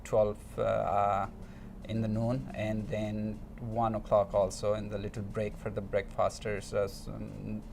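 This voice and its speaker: A man's voice: fairly low pitch, monotone, unhurried, gravelly, darkish tone, slight background noise. A man from Nepal with a Nepali accent, answering interview questions in hesitant non-native English, searching for words. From eastern Nepal. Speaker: interview guest.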